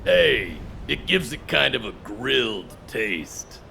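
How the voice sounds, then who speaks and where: An actor playing an upbeat, joking soldier who loves food in a video game, with a gravelly voice. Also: male voice, big pitch swings, slow, pushed and bright, heavily acted. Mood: excited. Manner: jokey, enthusiastic. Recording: clear background rumble.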